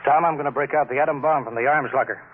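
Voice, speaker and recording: An actor playing a brusque, domineering colonial administrator who bosses and threatens, in a 1950s radio drama. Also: male voice, mid-range voice, fast, close to an everyday voice. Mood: grimly vengeful. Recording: old muffled radio recording with noise.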